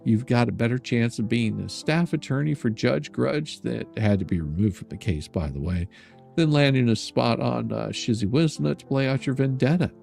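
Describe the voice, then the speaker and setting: A man's voice: medium pitch, expressive, normal speed, gravelly, darkish tone, soft background music. An older man hosting a stream, reading a letter aloud in a sarcastic, mocking and theatrical way. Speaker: streamer and host.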